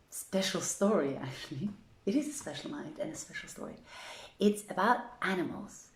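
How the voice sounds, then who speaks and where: A woman reading an old literary tale aloud as a storyteller, with deliberate, theatrical phrasing, in a roomy live stream recording. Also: on the low side, creaky. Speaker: storyteller, reader.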